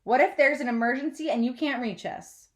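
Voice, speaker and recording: Woman as a gentle, doubtful, protective mother. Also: sits high, fairly quick, pitched above the performer's own voice, natural voice. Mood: worried. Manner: gentle, worried questioning. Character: gentle, doubtful, overprotective mother of a seventh-grader.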